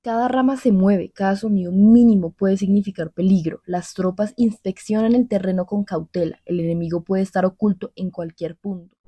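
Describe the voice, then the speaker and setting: Mellow, grave female war correspondent played by an actress. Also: medium pitch, steady tone, breathy edge, close to an everyday voice. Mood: grave, tense. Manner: solemn, measured, descriptive delivery. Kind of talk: dramatic scripted radio news report.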